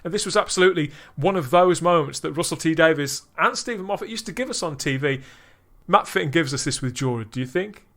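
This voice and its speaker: Brief: male podcast host, slightly higher voice, keen and chatty, keeps asking the others. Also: expressive, fast, a bit bright. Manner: enthusiastic, drawing in co-hosts with tag questions. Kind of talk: casual review discussion.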